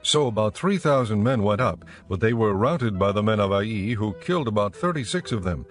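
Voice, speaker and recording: A male narrator reads scripture as a dramatic story. His voice is fairly low and his delivery measured. Quiet music runs underneath. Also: expressive.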